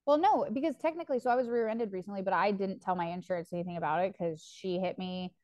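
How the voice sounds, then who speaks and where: A young woman in her twenties who rambles through a story, heavy on "like" and casual swearing. Her voice sits at a middle pitch for a woman, and the recording is dry and close, with no room around it. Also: quick pace, darkish tone, no room sound. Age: 20s. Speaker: podcast co-host. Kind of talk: casual chat.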